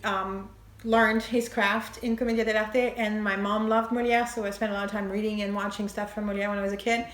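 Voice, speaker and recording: A woman's voice: on the high side, a bit bright. A woman from France, casually explaining screenwriting while thinking aloud with many fillers and light laughs. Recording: echoey room.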